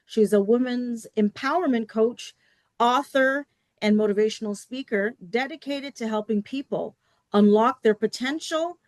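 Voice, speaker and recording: A woman's voice: fairly high pitch, dry recording. A woman hosting a virtual panel, introducing and thanking guests in a courteous, formal way. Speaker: host and moderator. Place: virtual summit video call.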